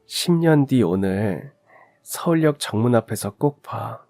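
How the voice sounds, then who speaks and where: A man voicing a gentle, sorrowful mother with a slightly choked low voice in a radio drama. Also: lively intonation, a little slow, dry recording, soft background music, natural voice. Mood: tender sadness. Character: gentle, sorrowful stepmother speaking slowly to child.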